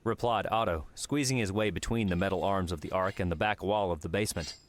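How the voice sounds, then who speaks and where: Low-pitched male audiobook narrator. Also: quick pace. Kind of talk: scripted narration.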